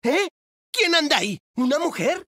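A woman's voice: high-pitched, sing-song, normal speed, no room sound, clean studio sound, exaggerated voice. Plucky little snowman boy hero. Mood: startled. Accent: Castilian Spanish. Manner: exclamatory, eager. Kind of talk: video game cutscene acting. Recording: behind loud game music.

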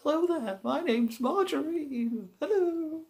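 A man puts on a high-pitched, silly lad for a comedy sketch. He is earnest and naive, far too nice to be bad. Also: animated, takes it slowly, exaggerated voice. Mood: silly, eager. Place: small living room.

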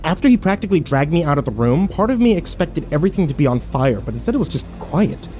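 A man playing an overwhelmed, foul-mouthed young man who narrates his ordeal haltingly in a horror audio drama. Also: on the high side, big pitch swings, mellow, faint music behind. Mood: uneasy. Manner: halting, self-interrupting, swearing, incredulous.

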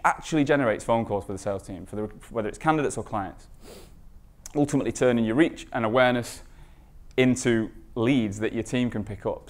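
Guy doing a conference talk, informal but emphatic, medium pitched voice, asks the crowd questions. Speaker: conference speaker, recruitment marketing expert. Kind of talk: conference presentation.

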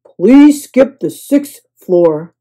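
A woman putting on a loud, grumpy sleepy hotel guest. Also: a little high, expressive, very slow, exaggerated voice. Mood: annoyed, demanding. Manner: grumbling, fuming, emphatic.

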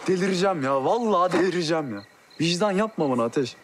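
An actor playing a jokey, easily exasperated young friend, outdoors at night. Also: male voice, high voice, expressive, fast talker, slight background noise. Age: young adult. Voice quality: joking, exasperated. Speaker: young man in a TV drama. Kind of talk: TV series acting.

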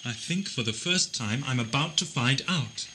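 A man's voice: mid-range voice, lively intonation, normal speed, bright, forceful tone, slight background noise. A British man acting in an audio drama as an eccentric, learned time-travelling Doctor, concerned and slightly wry. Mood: thoughtful.

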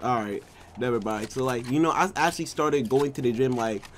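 A man's voice: mid-range voice, a little fast, slight background noise. A young man streaming a game from his bedroom, chatting playfully and asking teasing questions. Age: young adult. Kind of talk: casual gaming chat. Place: small bedroom, indoors.

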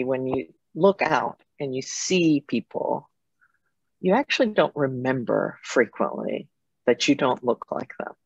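Creaky-voiced middle-aged woman on video call. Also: a bass voice, dry recording. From Japan, with years in Germany and Italy. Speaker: interview guest, foundation president. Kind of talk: conversational interview answers, personal storytelling. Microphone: computer mic on a video call. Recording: dull video-call audio.

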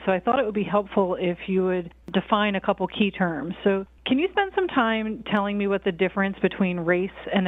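A woman's voice: medium pitch, no room sound. A woman interviewer asking prepared, plain questions. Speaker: interviewer, clinical manager. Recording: dry, phone-like sound.

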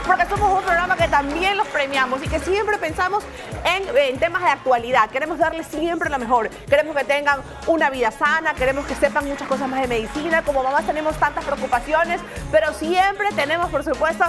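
A woman in her thirties with an Ecuadorian accent, co-hosting a TV magazine show and thanking the viewers warmly and emphatically. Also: high-pitched, brisk. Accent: Ecuadorian Spanish. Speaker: TV show co-host. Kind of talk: upbeat on-air address to viewers. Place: TV studio set. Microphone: lapel. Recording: TV studio with music bed.

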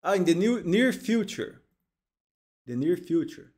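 A man from Brazil, a native Portuguese speaker with a Brazilian accent, hosting a music reaction in a halting, word-repeating way on a headset mic at home. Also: high voice, very animated, a little mellow. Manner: halting, repeats words, exclaims in delight. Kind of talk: casual live reaction commentary. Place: home studio, indoors, gaming chair.

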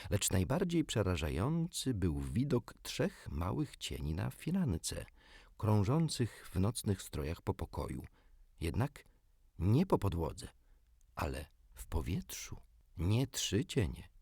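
A man's voice: low-pitched, a little mellow, slightly rough, no echo, studio quality. A man narrating a children's storybook expressively, as an audiobook reader.

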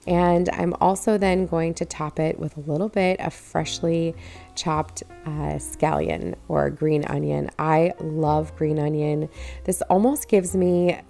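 Relaxed woman narrating a home cooking video. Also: fairly low pitch. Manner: relaxed, step-by-step instructive. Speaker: cooking channel host.

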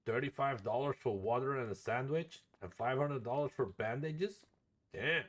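A man's voice: mid-range voice, moderate pace. A man streaming a roleplay game as a helicopter paramedic, chatting casually in blunt, sweary radio talk with codes.

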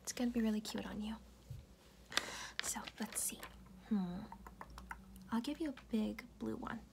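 A woman speaking in a soft, breathy, often unvoiced whisper, tenderly reassuring the listener in an intimate ASMR roleplay. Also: a little high, measured pace, background noise. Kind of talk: ASMR personal-attention roleplay, comforting affirmations. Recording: music playing behind the voice. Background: music.

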